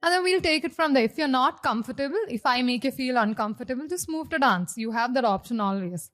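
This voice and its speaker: A young woman from South India with a husky voice, telling stories as a guest in a casual interview and acting out the people she quotes. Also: high voice, quick pace. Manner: animated storyteller, acts out quoted dialogue. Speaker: guest singer.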